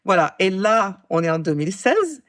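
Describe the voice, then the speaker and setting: A woman in her forties tells a true story in a loose, conversational way, pausing on "euh" and circling back to fix her words. Her voice sits at a middle pitch and sounds close and dry, without room echo. She drops sarcastic little asides as she goes. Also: very animated. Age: 40s. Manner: halting, many fillers and restarts, wry asides. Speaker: true-crime podcast co-host telling the case. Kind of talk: casual storytelling chat.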